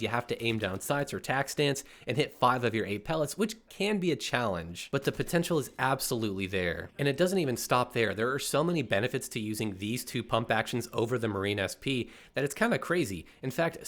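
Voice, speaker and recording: A man's voice: medium pitch, fairly bright tone. A congested man hosting a gaming channel, explaining weapon stats in upbeat, chatty commentary. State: congested, clearing his throat. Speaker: gaming YouTuber host. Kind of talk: casual gameplay commentary.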